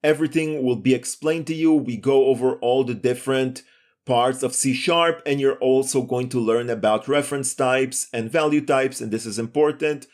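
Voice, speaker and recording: A man's voice: mid-range voice, moderate pace. A man giving a step-by-step screencast programming lesson in a methodical, explanatory way. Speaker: programming tutorial instructor.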